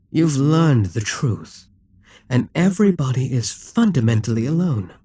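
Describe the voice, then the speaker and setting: Guy doing a smug demon king for asmr, dark mellow husky voice, really swoops around, coaxing and theatrical. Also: mid-range voice, no room sound, exaggerated voice. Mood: probing, taunting. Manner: smug, coaxing, theatrical, often dropping to whispers. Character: dominant, gloating male Demon King toying with a captive hero. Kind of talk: slow, intimate ASMR roleplay acting.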